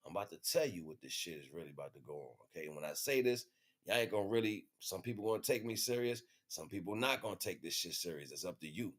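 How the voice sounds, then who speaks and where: Low-voiced American man, emphatic street-style talk. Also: expressive, fast, a bit bright. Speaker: livestream host. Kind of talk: informal spiritual monologue to viewers.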